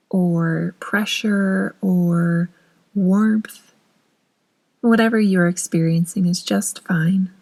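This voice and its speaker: A woman narrating a guided meditation in a soothing, unhurried manner with long pauses between phrases. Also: mid-range voice, darkish tone, rough recording.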